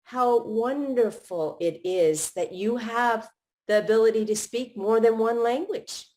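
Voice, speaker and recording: A woman's voice: on the high side, measured pace, darkish tone. A middle-aged woman hosting a live podcast, chatting casually in long run-on thoughts with many restarts. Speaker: podcast host.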